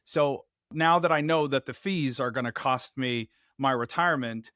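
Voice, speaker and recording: A man's voice: mid-range voice, normal speed, bright, forceful tone, clean tone, no echo. Host man with dry, close sound. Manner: probing, plays the worried listener. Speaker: podcast host. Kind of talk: conversational interview.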